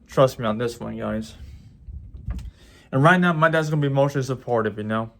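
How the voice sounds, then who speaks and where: Halting young man vlogging. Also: medium pitch, vocal fry, a bit bright. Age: 20s. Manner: halting, many restarts and repeated words. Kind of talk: casual vlog talk to viewers.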